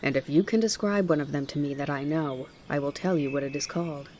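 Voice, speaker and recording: A woman voicing an old mountain grandfather in a storybook, speaking in a measured, plain, instructive way. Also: deep voice, a little mellow, slight background noise, close to an everyday voice. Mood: kindly, matter-of-fact. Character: gruff-minded, kindly old mountain grandfather.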